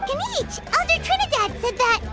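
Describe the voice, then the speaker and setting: A woman voicing a small, excitable, childlike fairy companion in a video game, with a squeaky, perky delivery. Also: normal speed, over loud music. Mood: eager. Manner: perky, refers to self by name. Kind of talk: animated video game dialogue.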